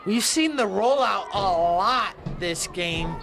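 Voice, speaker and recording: A woman doing loud, joking play-by-play commentary for a livestream from the press box of an outdoor stadium. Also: mid-range voice, animated, takes it slowly, music in the background, a crowd in the background. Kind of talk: live sports commentary.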